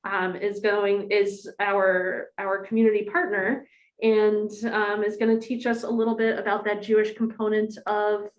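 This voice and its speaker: A woman rabbi introducing a guest speaker to her congregation in a friendly, halting way with many ums. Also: mid-range voice, little pitch movement, reverberant, low-quality recording. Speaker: rabbi hosting a class.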